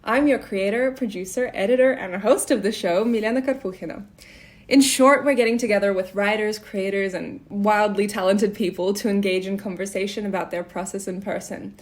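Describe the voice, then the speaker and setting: A woman hosts an interview podcast. Her voice sits at an average pitch for a woman. She moves between prepared introduction and casual conversation. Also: fairly even intonation, a little fast. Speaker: podcast host. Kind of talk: scripted introduction, then casual interview chat.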